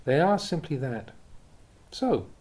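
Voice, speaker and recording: A man reading Buddhist teachings aloud in a measured, deliberate way with emphatic pauses. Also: a little high, sing-song, a little mellow. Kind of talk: read-aloud spiritual teaching.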